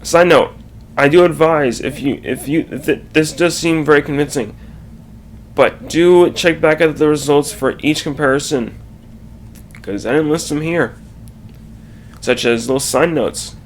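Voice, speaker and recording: A man reading a scripted slide presentation aloud, haltingly, correcting himself and adding asides. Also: on the high side, slight background noise.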